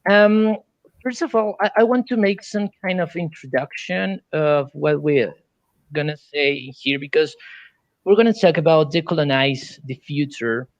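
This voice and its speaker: Male moderator, Colombian Spanish accent, higher register, halting delivery, dry recording. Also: no echo, lo-fi sound. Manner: halting second-language English. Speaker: panel moderator, science fiction editor. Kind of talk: hosting an online panel discussion.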